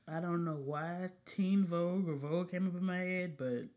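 A woman's voice: fairly low pitch, steady tone, normal speed, a little mellow. A young woman hosting a podcast, talking in blunt, profane, laughing banter. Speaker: podcast host. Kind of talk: casual chat.

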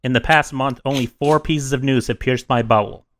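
A man co-hosting a casual podcast, dry and deadpan, reading a mock statement. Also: mid-range voice, normal speed. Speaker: podcast co-host.

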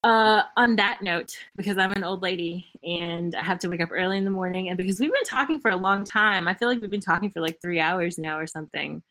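A woman's voice: fairly low pitch, brisk, hardly any pauses, fairly bright tone. A young woman from Tennessee hosting a podcast over a remote call, chatty and casual, with lots of "like" and "um" and frequent laughter. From Memphis, Tennessee, United States. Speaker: podcast host.

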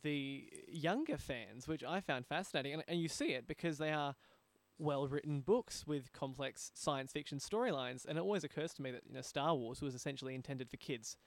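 A man's voice: a little high, expressive, brisk. A man speaking as a host, conducting a conversational interview. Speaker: talk show host, interviewer.

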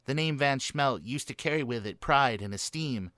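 A man's voice: mid-range voice, expressive, moderate pace, bright, forceful tone, dry recording, clean studio sound. An actor playing an anxious, doom-laden young male heir in a melodramatic comic gothic radio play, fretful in manner. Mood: gloomy, dramatic.

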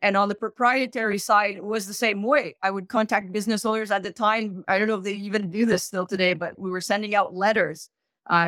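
A woman in her thirties from Quebec, a guest recounting her business journey in lively conversation. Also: no room sound. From Canada (Québec). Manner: animated, rises in pitch when emphasizing. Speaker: guest, search fund entrepreneur. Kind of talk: conversational interview.

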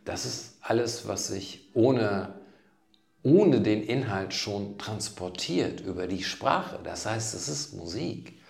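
A man in his fifties talks in a deep voice with a gravelly edge, unhurried and reflective. He pauses and restarts sentences as he thinks aloud. The recording has a noticeable room echo. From Germany. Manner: thoughtful, halting, restarts phrases. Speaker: guest writer. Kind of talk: reflective conversation. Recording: roomy echo.

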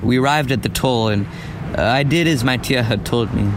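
A man's voice: medium pitch, normal speed, background noise. A young man narrating his own story in a wry, reflective way. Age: young adult. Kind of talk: scripted narration of a memoir drama.

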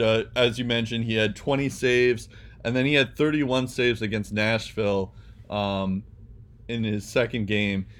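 A man's voice: lowish voice, fairly bright tone, smooth. A young man co-hosting a casual sports podcast, talking hesitantly with many ums and restarts. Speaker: podcast co-host.

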